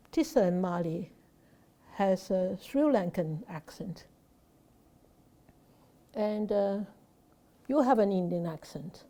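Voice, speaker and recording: A woman Buddhist teacher answering a student's question off the cuff, halting with frequent fillers and restarts and posing rhetorical questions. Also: mid-range voice, mellow. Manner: hesitant, many fillers and restarts.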